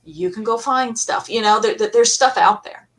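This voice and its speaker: A woman's voice: fairly high pitch, moderate pace. A woman hosting a casual fan panel, talking in a chatty, rambling way. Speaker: panel host.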